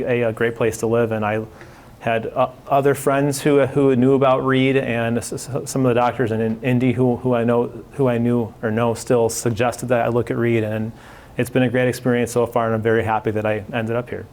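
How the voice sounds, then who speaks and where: A man from the Chicago area, a spine surgeon, telling his background at a community event in a halting way, often restarting words. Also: medium pitch, little pitch movement, darkish tone. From Chicago area, USA. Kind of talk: community talk, personal background.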